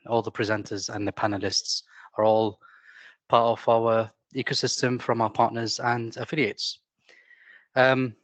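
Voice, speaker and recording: A man chairing a conference session and introducing the next speaker, halting and full of fillers. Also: medium pitch, a bit bright, no room sound. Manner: halting, with many fillers and restarts. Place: conference venue.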